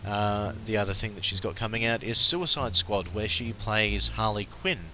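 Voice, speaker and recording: A man's voice: fairly low pitch, moderate pace, sharp tone, slight background noise, low-quality recording. An Australian man hosting a pop culture podcast, talking casually with lots of ums and uhs. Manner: chatty, frequent ums and uhs. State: recovering from flu. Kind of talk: casual solo commentary.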